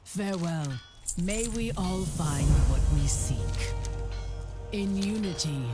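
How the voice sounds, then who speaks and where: A woman voicing a serene, solemn guardian in a fantasy video game, speaking in a stately, measured way. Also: fairly low pitch, loud music behind the voice. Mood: solemn blessing.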